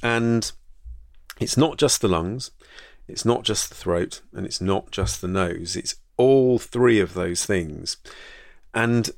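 A man's voice: on the low side, slow pace, creaky, dry recording, studio quality. A man hosting a solo podcast as a doctor, talking through a health topic in a conversational, thoughtful way with fillers and restarts. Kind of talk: solo educational podcast talk.

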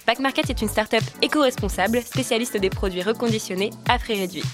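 Fast-talking female podcast host over music. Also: medium pitch. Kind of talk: scripted podcast introduction.

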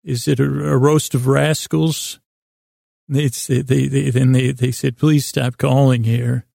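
A man rambles through a bedtime monologue as a podcast host. His voice is very gravelly and creaky, with little pitch movement, in a close, dry recording. He meanders and halts, pausing mid-thought to restate what he just said. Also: level tone, mellow, dry recording. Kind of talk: rambling bedtime monologue to help listeners sleep.

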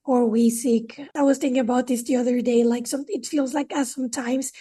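A woman hosting a podcast, chatting casually with a guest and leaning on "like" and "right?". Her voice is high and breathy. She sounds a little distant from the microphone. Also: steady tone, a little fast, dark tone. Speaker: podcast host. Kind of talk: casual interview talk.

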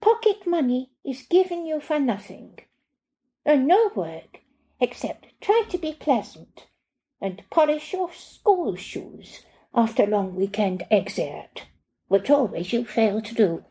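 A prim, proper housekeeper, as a woman reads her out with a higher, lifted voice and a stiff, stilted way of putting things. She talks slowly and carefully, lecturing in a soft, mellow tone, like an audiobook scene. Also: very animated. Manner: formal, stilted, slightly nonnative phrasing.

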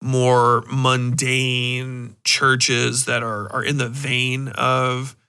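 A man with a bright, forceful voice talks as a podcast host. His delivery is loose and conversational, rambling from thought to thought. He is emphatic and freely profane. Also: mid-range voice, completely flat delivery, drawn-out words, clean tone. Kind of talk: casual rambling chat.

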